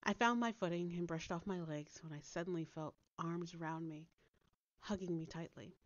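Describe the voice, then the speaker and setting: A woman reading a story aloud as a dramatic, deliberate narrator with theatrical delivery. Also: on the low side, lo-fi sound. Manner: deliberate, theatrical, dropping to hushed tones. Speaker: author reading own story. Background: soft background music.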